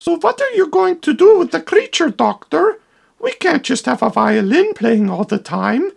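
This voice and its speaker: A man voicing a meek, high-voiced male hunchback assistant who whines. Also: big pitch swings, moderate pace, gravelly, heavily acted. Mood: whiny.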